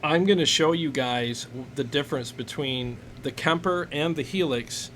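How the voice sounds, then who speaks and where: A man's voice: fairly high pitch, moderate pace, fairly bright tone. A man hosting a casual live chat, talking in a jokey, enthusiastic way. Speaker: host of a live gear Q&A stream. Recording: noisy live stream recording.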